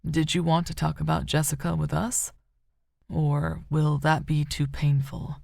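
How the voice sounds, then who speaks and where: A woman audiobook narrator voicing a gentle, considerate man who speaks with care. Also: low-pitched, level tone, unhurried, vocal fry, no echo, clean studio sound, full character voice. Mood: gentle, curious. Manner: gentle, careful.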